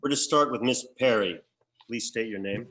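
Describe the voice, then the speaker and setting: An actor playing a severe, emotionless executor who speaks formally and precisely in a scripted comedy table read. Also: male voice, medium pitch, moderate pace, pushed and bright, natural voice, low-quality recording. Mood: coolly interrogating. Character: severe, emotionless executor in a suit, coolly officious. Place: remote video call.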